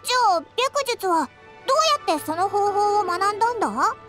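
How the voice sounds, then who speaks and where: A woman in a Japanese anime game voicing a tiny, childlike companion sprite, squeaky, blunt and inquisitive. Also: very animated, normal speed. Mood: curious. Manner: blunt boyish phrasing, inquisitive. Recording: music playing behind the voice.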